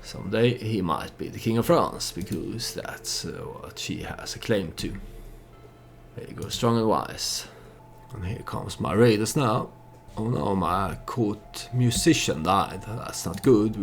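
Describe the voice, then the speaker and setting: A man giving unscripted, storytelling commentary on a game he plays, halting as he thinks aloud and speaks for his character. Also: sits low, creaky. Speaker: let's play host.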